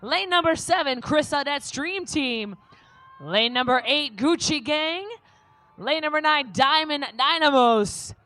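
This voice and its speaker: A woman announcing a live lifting competition, briskly calling out lanes and teams on a stage mic. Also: high voice, expressive, pushed and bright, dry recording. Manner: brisk, reads out lane lists. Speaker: event announcer. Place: competition stage at a fitness event.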